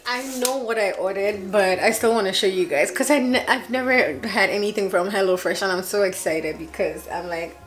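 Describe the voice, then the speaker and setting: A woman in her twenties chatting casually in her kitchen for a home vlog, chatty and excitable with frequent laughs. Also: fairly high pitch. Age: 20s. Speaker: vlogger.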